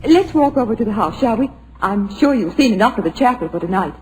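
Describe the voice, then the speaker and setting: Actress as a nervous young woman for a radio play, anxious and animated in pitch. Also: quick pace, lightly acted, poor audio. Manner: anxious, breathless. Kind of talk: 1940s radio acting.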